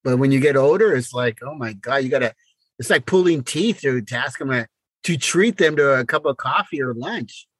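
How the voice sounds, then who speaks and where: A man's voice: medium pitch, animated, normal speed, husky, dry recording. A middle-aged man co-hosting a podcast in casual, joking chat, with a blunt, exasperated way of talking and some swearing. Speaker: podcast co-host.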